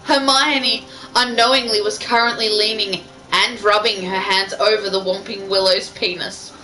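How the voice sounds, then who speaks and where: A woman's voice: mid-range voice, steady tone, moderate pace, poor audio. A woman reading fan fiction aloud in an amateur style, breaking off for casual banter. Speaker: reader.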